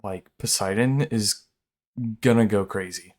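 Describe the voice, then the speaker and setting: Man, stuffy nose, slow unhurried talk, blunt excitable reaction commentary. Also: lowish voice. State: stuffy nose from a sinus infection. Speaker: video creator reacting to a stream.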